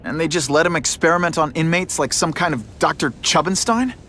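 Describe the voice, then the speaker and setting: A man's voice: on the high side, brisk, pushed and bright, a little hiss or hum. A young man voicing a principled, wisecracking masked hero in a game cutscene, with sarcastic banter. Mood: probing. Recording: game cutscene audio with music underneath.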